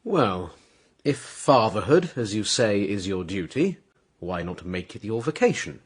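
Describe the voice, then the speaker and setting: An actor playing a calm, shrewd priest, dry, measured and knowing, in a radio drama. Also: male voice, on the low side, slightly rough, full character voice. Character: shrewd, calm Italian priest, younger brother.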